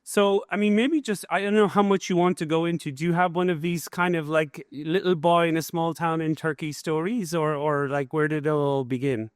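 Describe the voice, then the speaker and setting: A man's voice: a little high, fairly even intonation, normal speed, hardly any pauses, smooth, dry recording, studio quality. A man hosting a podcast interview at a conference, chatty and enthusiastic, with many fillers and restarts. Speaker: host, business school professor. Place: conference event.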